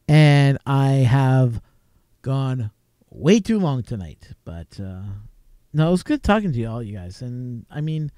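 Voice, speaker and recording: A man's voice: fairly high pitch, mellow, clear, resonant tone, no echo, studio quality. A man from Canada, hosting a late-night live stream from his home desk, chatting casually and rambling with chuckles. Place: home desk crowded with gear. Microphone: desk mic with a mixer.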